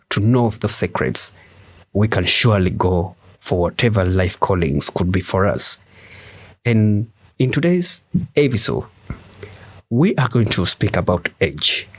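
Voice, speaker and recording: Male podcast host, emphatic motivational monologue with repetition, slightly low and husky, drawn-out pace. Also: no room sound. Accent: West African English. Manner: slow, emphatic, preacher-like delivery with repetition.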